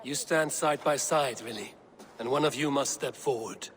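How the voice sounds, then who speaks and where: An actor playing a steady, trusted Viking clan leader in a game, giving counsel in a measured, grave and formal way. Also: male voice, mid-range voice, a little hiss or hum, natural voice. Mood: reassuring. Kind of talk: dramatic video game cutscene acting.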